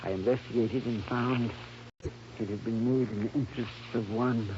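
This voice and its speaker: An actor playing a sick, weary farmer in an old-time radio drama, earnest and fearful, with weak, labored delivery. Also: male voice, mid-range voice, steady tone, normal speed, close to an everyday voice. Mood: earnest, uneasy. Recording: dull vintage radio recording, faint hum.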